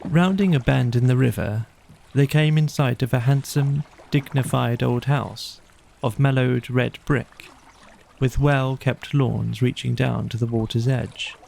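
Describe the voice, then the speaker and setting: A man reads a bedtime story as an audiobook narrator. His delivery is measured, in a mid-range voice with a mellow, relaxed tone and noticeable creak. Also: mid-range voice, a little hiss or hum.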